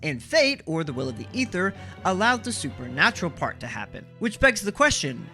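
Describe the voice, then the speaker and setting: A man's voice: sits high, very animated, a little fast, bright, forceful tone. A man narrating a scripted video game analysis as the series host, explaining things with emphasis. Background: background music.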